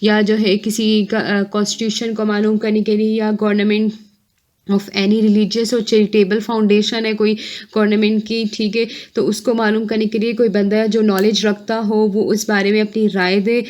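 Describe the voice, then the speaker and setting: A woman's voice: medium pitch, level tone, measured pace, a little mellow. A woman teaching in an informal explanatory lecture, reading out points and rephrasing them, often adding short checks for understanding. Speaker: law lecturer, online teacher.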